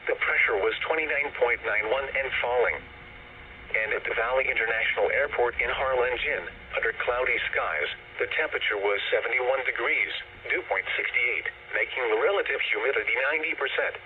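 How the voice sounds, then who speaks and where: A man reading a scripted weather report in a formal, measured, synthetic announcer voice over the radio. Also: mid-range voice. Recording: noisy, tinny, band-limited weather radio broadcast.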